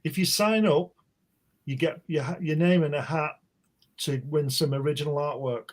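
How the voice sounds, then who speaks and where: A British comic book artist, a man, chatting as a guest on a video call, brisk and jokey. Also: fairly high pitch. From Britain. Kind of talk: casual chat.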